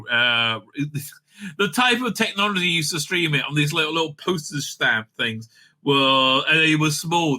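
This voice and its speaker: A middle-aged man giving casual, rambling opinionated commentary as a show host, emphatic and halting, often repeating himself and checking his points. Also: on the high side, pushed and bright.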